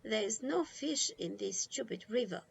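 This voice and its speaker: A woman's voice: a little high, animated, a little slow, gravelly, husky, highs cut off, exaggerated voice. A woman voicing a sulky, complaining child in a storybook, grumbling and mumbling. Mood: sulky, disgusted. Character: sulky, complaining child unhappy about summer away.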